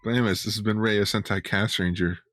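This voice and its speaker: A man's voice: fairly low pitch, fairly even intonation, fast, fairly bright tone, dry recording, clean studio sound. A man co-hosting a podcast with casual, jokey and irreverent banter. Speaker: podcast co-host.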